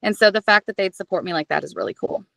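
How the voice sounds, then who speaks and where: A woman in the middle of her range, chatting as an interview guest in a lively, self-deprecating way. She talks about her work with plenty of feeling. The sound is close and dry. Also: mid-range voice, fast talker, creaky, no echo. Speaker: audiobook narrator and guest. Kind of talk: casual interview chat.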